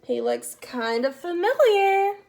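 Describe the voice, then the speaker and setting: A woman's voice: extremely high pitch, big pitch swings, slow, creaky, a little mellow. A woman reading a picture book aloud for children as a storyteller, expressively, talking directly to her young listeners. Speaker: read-aloud host, storyteller.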